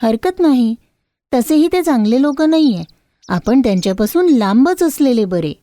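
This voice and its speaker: Lady doing a caring village wife, respectful and gentle, high storybook voice. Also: animated, moderate pace, no echo, studio quality, close to an everyday voice. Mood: reassuring. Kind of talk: storybook character voice.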